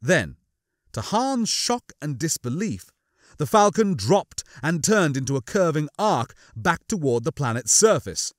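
A man's voice: on the high side, big pitch swings, unhurried, no echo, studio quality. A man giving a dramatic scripted narration of a science fiction audiobook.

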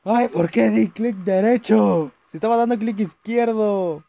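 A young man with a Chilean accent commentating a horror game, yelling at jump scares and rambling between them. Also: high voice, measured pace, vocal fry. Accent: Chilean Spanish. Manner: jumpy, shouting at scares, rambling asides. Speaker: gaming youtuber. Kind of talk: let's play commentary.

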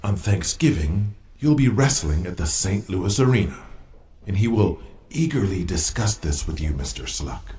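An actor playing a brash, domineering wrestling promoter in an audio drama. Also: male voice, deep voice, animated, moderate pace, darkish tone. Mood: smug. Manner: smug, domineering.

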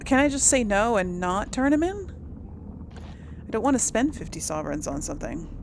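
A woman's voice: mid-range voice, big pitch swings, normal speed, creaky, slight background noise. A woman casually commenting on a role-playing video game, thinking aloud and laughing often. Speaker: let's play host. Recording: voice over game audio with faint music.

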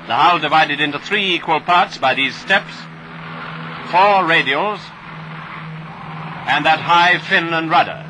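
A man's voice: a little high, unhurried, pauses often, background noise. A British man narrating a scripted 1940s wartime training film in a jaunty, wry, clipped manner, on a vintage soundtrack.

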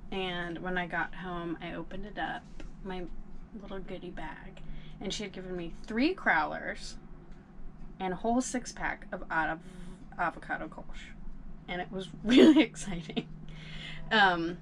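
A young woman sitting in a small boat cabin, casually reviewing craft beer with a relaxed, laughing manner. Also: on the low side, slight background noise. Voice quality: relaxed, laughs often, drawn-out fillers.

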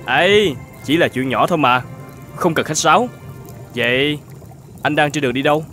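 An actor playing a kind, humble older shepherd in an animated folk tale, speaking politely and gently. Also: male voice, on the high side, normal speed, soft background music, close to an everyday voice. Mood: kind, gentle. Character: kind, humble older shepherd, hospitable, fearful under threat.

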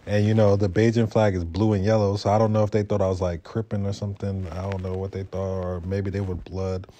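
A young man tells a story in a vlog. His voice sits fairly low and has a dark, mellow tone. He talks casually and loosely, in laid-back street slang. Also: little pitch movement, brisk. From Brooklyn, New York. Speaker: motovlogger.

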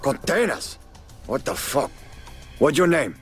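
An actor playing a cocky, menacing crime boss with a Cuban accent in a video game cutscene. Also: male voice, a little high, sing-song, a little slow, soft background music. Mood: brusque.

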